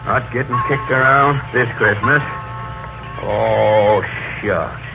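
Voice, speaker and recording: A man playing a grumpy, put-upon but soft-hearted old Santa Claus with folksy turns of speech, in 1930s radio comedy style. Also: lowish voice, slow, vocal fry, lo-fi sound. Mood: sulky, stubborn. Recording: noisy 1930s radio broadcast recording.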